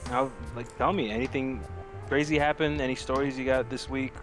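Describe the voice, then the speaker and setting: A man co-hosting a casual podcast, talking in an animated, slangy way and swearing freely. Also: mid-range voice, brisk, music in the background. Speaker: podcast co-host. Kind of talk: casual movie-review banter.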